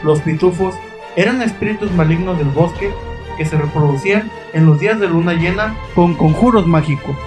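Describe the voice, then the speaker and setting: A man delivers scripted narration in a fairly high register. His delivery is suspenseful and dramatic, addressing the listener directly over music. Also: moderate pace. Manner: suspenseful, rhetorical questions to listeners.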